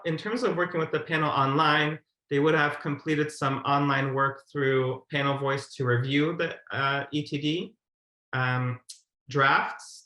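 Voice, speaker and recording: A man's voice: medium pitch, bright, forceful tone. A man giving a formal, methodical explanatory presentation as a webinar presenter over a video call. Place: indoor room, on a video call. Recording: roomy, echoey webinar audio.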